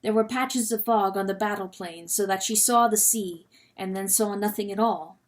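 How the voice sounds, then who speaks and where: A woman reading a novel aloud as dramatic narration, expressive and full of suspense. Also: medium pitch, normal speed. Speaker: audiobook reader.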